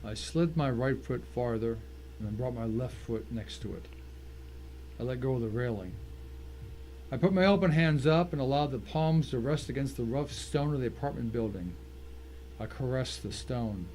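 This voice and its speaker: A man's voice: mid-range voice, normal speed, a little mellow, natural voice, low-quality recording. A man playing a tense, determined man who narrates his own ordeal in a dramatic first-person story, with noise behind him. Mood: tense, focused. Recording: clear background noise.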